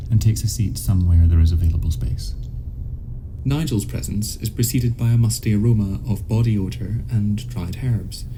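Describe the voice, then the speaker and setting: A man narrating a scripted surreal radio play in a formal, measured storytelling manner. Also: lowish voice, dark tone, slight background noise, rough recording. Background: music score.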